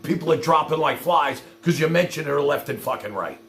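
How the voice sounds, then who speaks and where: Exasperated, gravelly, high-pitched man ranting. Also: expressive, brisk, fairly bright tone, faint music behind. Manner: profane, exasperated, confrontational; addresses viewers directly with repeated rhetorical questions. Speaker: livestream host. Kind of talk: ranting livestream monologue to viewers.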